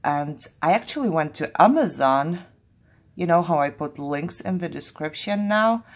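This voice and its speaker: Chatty, jittery woman, lively low voice. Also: moderate pace. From Kazakhstan. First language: Russian. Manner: chatty, jittery, laughs often. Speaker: crafting channel host. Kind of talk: casual crafting vlog.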